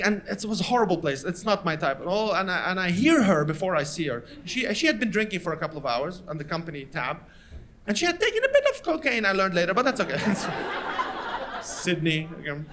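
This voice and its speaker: A man from Lebanon with a Lebanese accent, doing animated stand-up storytelling on stage and acting out the people in his story. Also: sits high. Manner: animated, acts out voices of others in anecdotes. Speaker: stand-up comedian. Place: stage before a live audience.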